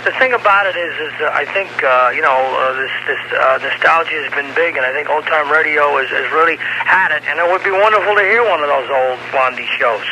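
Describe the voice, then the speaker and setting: A middle-aged man phoning a radio show, chatting nostalgically in a hesitant, halting way. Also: fairly high pitch, sharp tone. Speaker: radio call-in caller. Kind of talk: nostalgic casual chat. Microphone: telephone call to studio. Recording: noisy cassette of radio phone-in.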